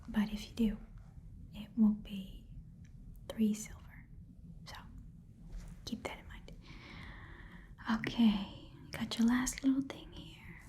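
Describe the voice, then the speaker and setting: A woman's voice: on the high side, warm tone. Woman as a whispering, rambling goblin shopkeeper. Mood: haggling, dismissive. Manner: rambling, casual, muttering, slangy. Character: small, sly, blunt goblin pawnshop keeper. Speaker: ASMR performer playing a shopkeeper. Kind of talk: ASMR roleplay, whispering.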